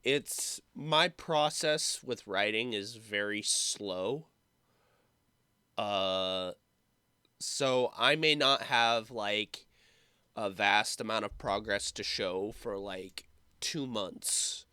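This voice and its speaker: Young man, sick and a bit hoarse, slow rambling vlog talk, bright clear voice, dry sound. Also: lowish voice, no echo. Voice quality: slightly rough, hoarse voice. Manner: rambling, halting, with many fillers and restarts. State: sick, throat sore from coughing. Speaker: YouTuber. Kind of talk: casual vlog update.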